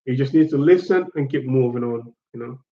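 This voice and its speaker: A man's voice: mid-range voice, brisk. A man from Guinea-Bissau, hosting a casual chat, talks in a hesitant and reflective way, often repeating himself as he gathers his thoughts. His voice sits in the middle of the usual range for a man. The audio is dull and lo-fi, with a roomy echo around him. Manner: hesitant and reflective, repeats words while searching for them.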